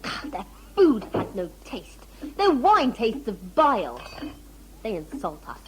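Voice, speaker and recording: An actress plays a savage huntress in a sci-fi audio play. She speaks formally and bluntly, with lively swings of pitch and earnest devotion. The amateur tape has faint noise behind her. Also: slow pace, exaggerated voice. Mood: disgusted. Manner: blunt, formal, literal speech. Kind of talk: fan audio sci-fi drama acting.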